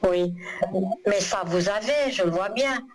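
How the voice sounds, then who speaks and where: A woman's voice: mid-range voice, unhurried. A woman gives a psychic reading in a phone-in consultation. She meanders and hesitates, filling gaps with ums. A faint hiss sits under her voice. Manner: hesitant, meandering, many fillers. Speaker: psychic reader.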